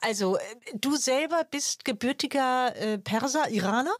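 Breathy woman co-hosting. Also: sits high, animated, moderate pace, dry recording, clean studio sound. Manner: short, reactive questions. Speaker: podcast co-host. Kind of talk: casual podcast interview.

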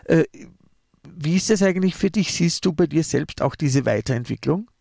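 A man interviews a singer, heaping praise on her and stumbling through repeated restarts of his questions. His voice is fairly high and gravelly. The sound is dry and close. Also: expressive, moderate pace, no room sound. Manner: warm praise, stammering restarts. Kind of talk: casual interview talk.

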